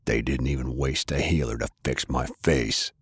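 Man voicing a very deep, sneering brute villain. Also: steady tone, normal speed, breathy edge, no room sound, clean studio sound, heavily acted. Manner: sneering, boastful. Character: brutish, taunting undead-tough brother, boastful and menacing. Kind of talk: audiobook character acting.

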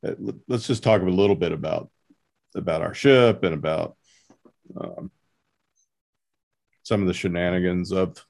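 A man hosting a casual interview, haltingly feeling his way through his questions and remarks. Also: low-pitched, creaky, a little mellow. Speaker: podcast host interviewer.

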